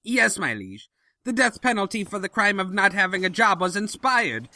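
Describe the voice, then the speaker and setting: An actor playing an elderly, loyal pony chancellor who is fretful, formal and obsequious, in comedic audio drama. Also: male voice, sits high, big pitch swings, moderate pace, bright, forceful tone, exaggerated voice. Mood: anxious, deferential.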